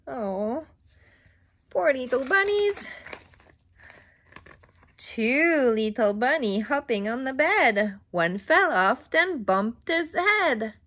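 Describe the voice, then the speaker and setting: A middle-aged woman reading a picture book aloud to young children in an exaggerated, interactive way, with questions and pauses. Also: fairly high pitch, fairly bright tone. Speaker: children's storybook reader. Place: small indoor room at home.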